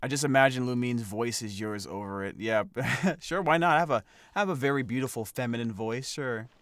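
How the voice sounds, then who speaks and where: A man streaming a game as a voice actor, talking casually with halting, chuckling asides. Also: mid-range voice, fairly bright tone. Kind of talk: casual gameplay commentary.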